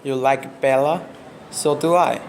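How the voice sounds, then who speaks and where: A man's voice: mid-range voice, steady tone, unhurried, a little mellow, lightly acted, rough recording. A man playing a friendly young man, a younger brother in love, with simple scripted delivery in an amateur radio play. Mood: questioning. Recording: faint background noise and ambience.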